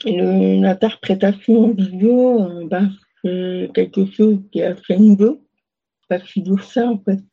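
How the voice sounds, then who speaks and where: A woman's voice: mid-range voice, fairly even intonation, unhurried, creaky, breathy edge, phone-quality sound. A young woman answering interview questions casually, hesitant, with many fillers and restarts. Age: young adult. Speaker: interview guest.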